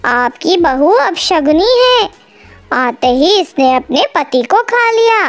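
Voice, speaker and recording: Woman voicing a scolding, slow-spoken older aunt bird. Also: extremely high pitch, sing-song, soft background music, exaggerated voice. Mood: scornful. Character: scornful, superstitious older aunt-in-law bird, female, scolding.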